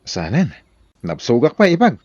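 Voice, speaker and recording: A man's voice: medium pitch, huge pitch swings, moderate pace, a little mellow, breathy edge, no room sound. A man playing a helpful, teasing brother-in-law in an Ilocano radio drama. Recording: dull, muffled sound.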